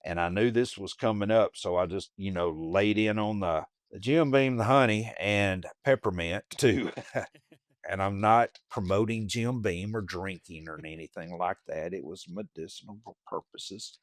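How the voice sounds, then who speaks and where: A man, a guest actor telling stories in a casual interview, booming and chatty. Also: measured pace, no echo, clean studio sound.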